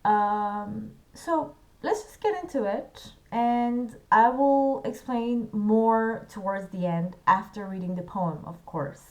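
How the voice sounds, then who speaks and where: Woman chatting about a poem, slow and unhurried, kinda hesitant with lots of ums, earnest. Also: mid-range voice, a little mellow. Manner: hesitant, many filler sounds and restarts; earnest, personal enthusiasm. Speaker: poetry video host. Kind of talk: casual poetry explainer.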